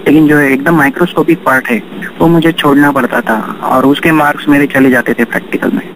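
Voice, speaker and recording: A man's voice: fairly high pitch, fairly even intonation, fairly quick, a bit bright, poor audio. Guy being interviewed, explains stuff with english words mixed in, bit of noise. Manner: mixes English terms into speech. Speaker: interview guest, university coordinator. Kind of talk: interview answers, explaining own experiences.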